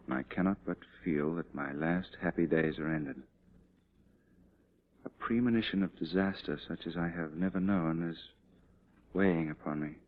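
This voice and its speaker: An actor playing a confident cavalry commander and devoted husband, in mid-century dramatic style. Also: male voice, low voice, a little hiss or hum. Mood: solemn. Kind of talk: mid-20th-century American dramatic acting. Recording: dull old radio-era drama recording.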